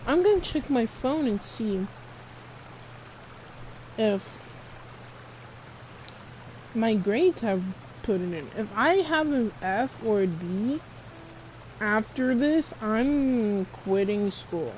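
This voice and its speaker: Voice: mid-range voice, expressive, slow, pauses often, darkish tone, rough recording. A female streamer chatting casually over gameplay in a slangy, grumbling way. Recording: clear background noise.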